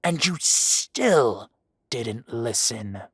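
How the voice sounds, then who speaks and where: A man voicing a male dragon who poses as a mafia boss, speaking with slow, purring, mocking menace. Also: mid-range voice, sing-song, fairly bright tone, breathy edge, dry recording, studio quality, exaggerated voice. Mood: silky menace. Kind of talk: roleplay audio drama.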